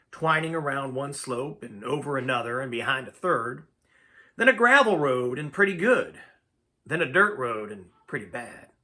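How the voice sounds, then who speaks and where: A man reading a story aloud as narrator, with a dramatic, expressive, folksy delivery. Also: fairly high pitch. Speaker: amateur story reader.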